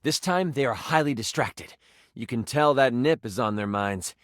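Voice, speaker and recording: A man's voice: medium pitch, animated, normal speed, no room sound, studio quality, close to an everyday voice. An actor playing a game master, a man narrating a fantasy quest in a theatrical, suspenseful and emphatic way. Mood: dramatic.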